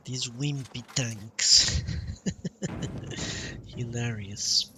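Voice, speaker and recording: A man streams a video game with casual, offhand commentary, often muttering to himself. His voice is mid-pitched and very slow in pace. Game ambience is clearly audible behind him. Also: steady tone, noisy background. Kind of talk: casual live gameplay commentary. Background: game ambience and sound effects.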